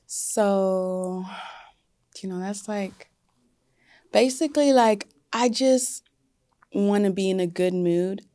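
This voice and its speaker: A woman's voice: mid-range voice, slow pace, long pauses, warm tone, dry recording. A young woman singer talking casually in an interview, with many fillers like "like" and "you know". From United States. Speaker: singer guest.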